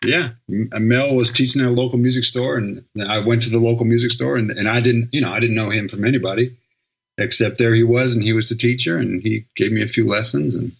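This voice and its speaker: A man's voice: medium pitch, fairly quick, gravelly, breathy edge. A middle-aged man from Sacramento, a guest musician rambling through stories in a casual interview, correcting himself as he goes. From Sacramento, California. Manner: rambling, self-correcting storyteller, laughs easily. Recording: slightly dull, lo-fi sound.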